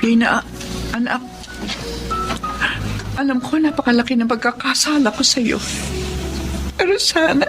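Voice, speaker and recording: A woman's voice: high voice, unhurried, long pauses, over music. A woman acting a remorseful, pleading mother in a Filipino radio drama, with a Filipino accent. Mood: earnest remorse. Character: remorseful, pleading mother begging her daughter's forgiveness.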